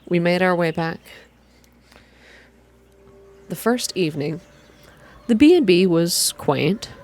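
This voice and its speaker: A woman's voice: on the low side, darkish tone. A woman narrating a story aloud in an ASMR reading style.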